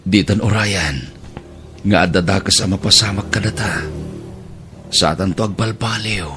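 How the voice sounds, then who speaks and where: A man's voice: deep voice, unhurried, pushed and bright, soft background music. A man with an Ilocano accent playing a volatile, remorseful village husband in an audio drama, recorded with some noise. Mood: remorseful, firm.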